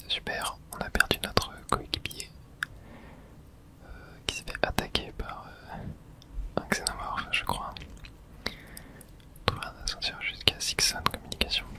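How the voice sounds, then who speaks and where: Female ASMR streamer, whispered game commentary with casual slang and spoken reactions to play.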